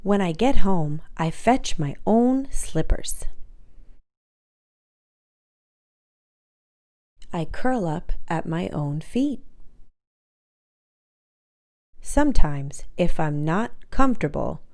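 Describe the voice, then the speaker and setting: A woman voicing a proud, independent dog in a children's storybook, with expressive, deliberate, self-assured telling. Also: lowish voice. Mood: proud, matter-of-fact.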